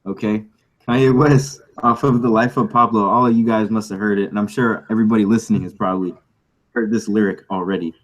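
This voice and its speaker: A man with a husky voice chatting casually and jokingly as a podcast host. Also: fairly low pitch, steady tone, fast, poor audio. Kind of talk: casual comedic group chat.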